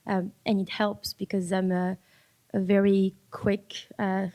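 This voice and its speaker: Woman panelist, mellow tone, thoughtful, restarts a lot. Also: mid-range voice, little pitch movement, measured pace. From France. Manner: reflective, repeats words while finding them. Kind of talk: panel discussion. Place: public panel event.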